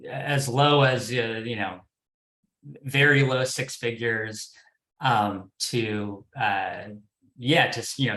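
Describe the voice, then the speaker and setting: A man's voice: lowish voice, pushed and bright. A man answering as a panelist, conversational and tentative as he works through his thoughts. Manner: tentative, hedging delivery. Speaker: panelist, podcast development executive. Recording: echoey, reverberant room.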